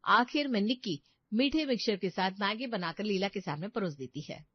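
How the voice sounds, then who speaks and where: A woman's voice: mid-range voice, animated, normal speed, no echo, lo-fi sound. A woman narrating a scripted family moral story as a storyteller.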